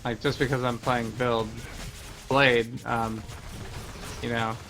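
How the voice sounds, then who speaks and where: A man's voice: medium pitch, flat delivery, slow pace, a bit bright, soft background music. A man giving casual gameplay commentary as a streamer, explaining things in a conversational way. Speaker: game streamer.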